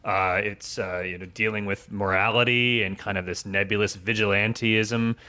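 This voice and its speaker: A man's voice: on the low side, fairly even intonation, moderate pace, bright, forceful tone. A man speaking as a podcast guest, explaining things in a casual, conversational way. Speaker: podcast guest, audio drama writer.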